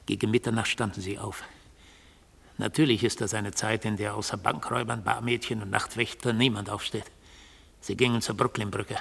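A husky, creaky-voiced male defendant in a vintage radio drama. He speaks on the low side, laying out his testimony with obsessive precision. His manner is insistent and rhetorical. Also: flat delivery, normal speed, fairly bright tone, heavily acted. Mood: narrating intently. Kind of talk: 1970s German radio play acting.

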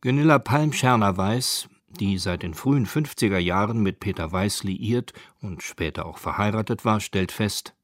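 A man narrating a scripted radio documentary in a measured, factual way. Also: fairly low pitch, no room sound, clean studio sound. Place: dry studio.

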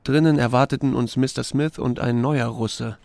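A man's voice: mid-range voice, fairly even intonation, moderate pace, creaky, a little mellow, dry recording, natural voice. An actor playing an unflappable small-town sheriff who tells his own story in an audio drama, dry, wry and understated. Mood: wry narration. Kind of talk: first-person narration and dialogue in audio drama.

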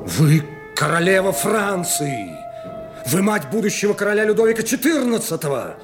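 An actor playing a commanding, stern cardinal in a radio drama, emphatic and urgent in manner. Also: male voice, high-pitched, big pitch swings, normal speed, over music. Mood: alarmed, reproachful.

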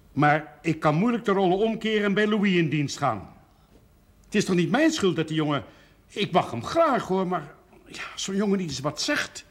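A proud, husky-voiced rural brewer. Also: male voice, on the high side. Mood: defensive, earnest. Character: firm, proud rural brewer and devoted father. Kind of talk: 1970s Dutch television period drama acting.

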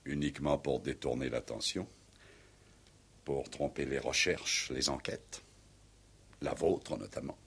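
An actor in a 1960s French radio play playing a proud, middle-aged former Resistance commander, speaking in a grave, formal, solemn way. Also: male voice, extremely low pitch, unhurried, creaky, long pauses, fairly bright tone, husky, heavily acted. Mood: grave confession.

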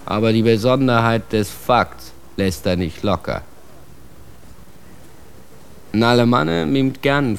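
An actor plays a streetwise man with a low voice, delivering a radio drama monologue. He is scornful and insistent, declaiming in earthy slang like poetry from the street. Faint noise sits behind the words. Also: natural voice. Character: streetwise, scornful male monologist preaching mercy in rough slang.

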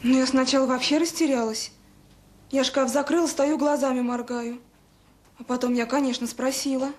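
A woman's voice: high voice, fairly even intonation, moderate pace, darkish tone, natural voice. An actress playing an anxious woman staffer at a children's home, hesitant and apologetic, in a Soviet radio drama. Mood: flustered.

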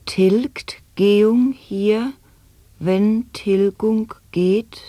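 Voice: mid-range voice, steady tone, extremely slow, dark tone, no echo. An actor performing an intent reciter of tongue-twisting absurd word games in a radio play, declaiming with precise rhythm. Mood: rhythmic, precise. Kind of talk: avant-garde radio play recitation of nonsense wordplay.

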